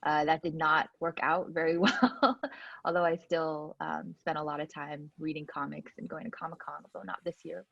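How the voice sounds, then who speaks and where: A woman's voice: sits low, little pitch movement, normal speed, gravelly, no room sound. A woman from Hong Kong on a panel, talking casually about her background with many fillers. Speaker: venture investor panelist. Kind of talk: panel talk, personal background. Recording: dull lo-fi sound.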